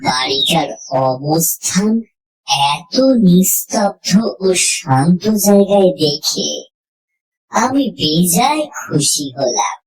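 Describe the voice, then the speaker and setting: Female horror audiobook narrator, lower register, very unhurried, deliberate phrasing. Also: lively intonation, low-quality recording. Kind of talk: scripted horror story narration, first person.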